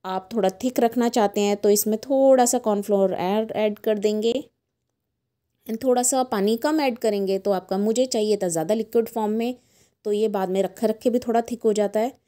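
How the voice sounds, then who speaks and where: Middle-aged Indian woman, recipe host, soft dark tone at a medium pitch, chatty voice-over. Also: moderate pace. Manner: chatty, mixes English words into Hindi. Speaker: cooking channel host.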